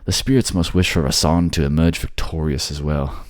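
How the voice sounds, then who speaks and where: A man's voice: extremely low pitch, fairly even intonation, normal speed, no room sound, studio quality. A man playing a suave, manipulative fantasy ruler who coaxes his captive in an intimate ASMR audio drama. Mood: possessive coaxing. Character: suave manipulative male water-folk ruler, soothing captor.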